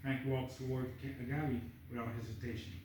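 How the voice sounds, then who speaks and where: A man's voice: medium pitch, level tone, a little fast, darkish tone, far from the mic. A man reading screenplay stage directions aloud as the narrator at a table read. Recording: echoey room, off-mic, faint noise.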